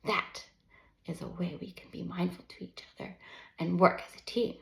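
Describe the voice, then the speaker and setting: A woman voicing a gentle but overwhelmed mother in a storybook, patiently and tenderly explaining things to young children with soft emphasis. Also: lowish voice, steady tone, moderate pace, gravelly. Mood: encouraging. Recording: roomy, echoing sound.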